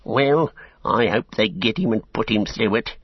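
A man's voice: fairly low pitch, lively intonation, unhurried, slightly rough, no echo, muffled recording, pitched above the performer's own voice. A man voicing a small male orc tracker in a storybook, grumbling, sneering and insolent, jeering and whining his complaints.

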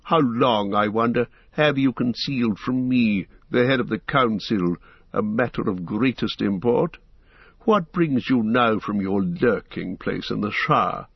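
A man voicing a proud, scornful old male wizard who speaks in a slow, sneering way. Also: mid-range voice, creaky, slightly rough, no room sound, lo-fi sound.

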